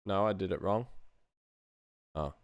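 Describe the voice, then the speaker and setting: A man's voice: low voice, unhurried, dry recording, muffled recording. A middle-aged man chatting casually as he works on a livestream, thinking aloud in a dry, self-deprecating way. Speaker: sound designer streaming his work. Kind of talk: casual livestream chat while working.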